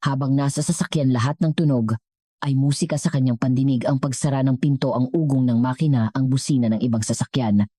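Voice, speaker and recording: A woman's voice: rumbling low, brisk, mellow, husky, no echo, studio quality. A woman with a Filipino accent reading a scripted dramatic story as its narrator.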